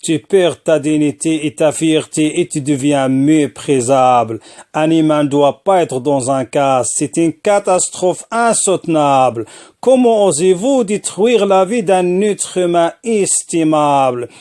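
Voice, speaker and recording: Man, slightly high-pitched voice, slow pace, rhymed poetry recitation, declamatory, deliberate phrasing. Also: darkish tone. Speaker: poet, former prisoner. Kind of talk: rhymed spoken-word poem recitation.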